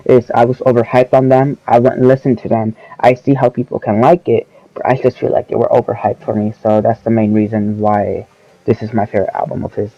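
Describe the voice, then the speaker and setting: An eighteen-year-old man reviewing albums in casual, rambling speech with slang, often correcting himself, his voice a little lowered as he comes down with a cold. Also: flat delivery, fairly quick, dry recording, muffled recording. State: voice lowered from oncoming sickness. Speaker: album review channel host.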